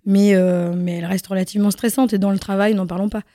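A woman's voice: medium pitch, steady tone, fast talker, warm tone, dry recording, studio quality. A young adult woman from Guatemala, a singer and actress, answering a radio interviewer in a casual, hesitant way with many fillers and restarts.

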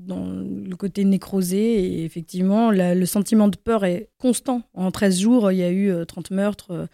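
A young woman with a mellow, warm voice speaks casually and hesitantly, stopping often with fillers and restarting her sentences. She is a singer answering questions in a radio interview. The recording is dry and close. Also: mid-range voice, steady tone, moderate pace, gravelly, no echo. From Guatemala. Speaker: singer and actress, interview guest.

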